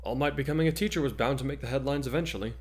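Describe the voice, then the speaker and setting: A man's voice: mid-range voice, rapid-fire, darkish tone, voice pushed up, natural voice. A man voicing an earnest, courteous teenage hero student with firm, formal speech in a story reading. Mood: resigned.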